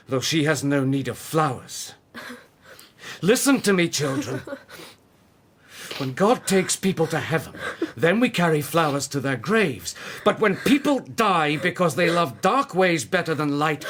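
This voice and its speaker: A man's voice: fairly high pitch, a bit bright, exaggerated voice. An actor playing a stern, unforgiving father in a radio drama, speaking with solemn command. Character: stern, unforgiving father forbidding his daughter's name.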